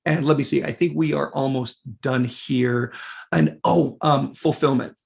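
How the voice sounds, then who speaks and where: Male webinar presenter, mid pitch, raspy, gravelly, voice fading. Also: steady tone, a little slow. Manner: casual, many fillers, reads out viewer questions. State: losing his voice, clearing throat. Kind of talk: live software webinar walkthrough.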